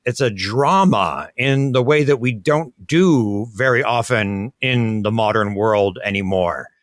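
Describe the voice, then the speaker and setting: A man's voice: on the low side, measured pace, no echo, clean studio sound. A man co-hosting a podcast, chatting in a rambling, enthusiastic way. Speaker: podcast co-host. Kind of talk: casual chat.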